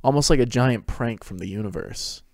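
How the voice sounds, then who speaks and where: A man's voice: mid-range voice, normal speed, dry recording, clean studio sound. A man narrating a fan fiction story as a scripted dramatic reading.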